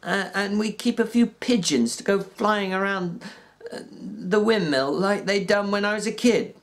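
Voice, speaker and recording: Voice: measured pace, natural voice. A reader putting on a drawling, dreamy ranch hand. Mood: wistful. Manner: raised pitch, stumbles and fillers. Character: plainspoken ranch worker man dreaming aloud. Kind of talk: audiobook reading.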